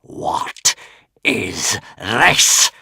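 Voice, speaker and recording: A man's voice: low voice, big pitch swings, extremely slow, creaky, bright, forceful tone, breathy edge, dry recording, studio quality, heavily acted. A man voicing a huge, savage, ancient male sea dragon who hisses with menace. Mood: furious. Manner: hissing, furious, menacing.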